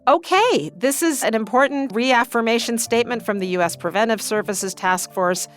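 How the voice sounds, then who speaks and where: A woman's voice: fairly high pitch, normal speed, soft background music. A woman hosting an interview podcast, asking structured questions and recapping the answers plainly. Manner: clear, structured, recapping what was said.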